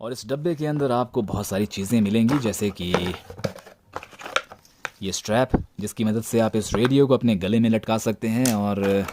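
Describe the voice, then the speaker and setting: Low-pitched deadpan Indian man reviewing gadgets. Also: a little hiss or hum. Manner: deadpan, tongue-in-cheek. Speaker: product reviewer, presenter.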